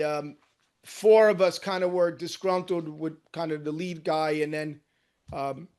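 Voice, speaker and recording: A man in his sixties, born in Cuba and raised in New Jersey, telling his life story as a guest surgeon in a casual interview, lively and often trailing off into quiet asides. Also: a little high. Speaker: guest, orthopedic hand surgeon.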